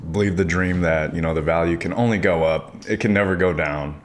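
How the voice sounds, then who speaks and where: A man interviewing a guest, asking relaxed questions and laughing along. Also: low-pitched, fairly quick, slight background noise.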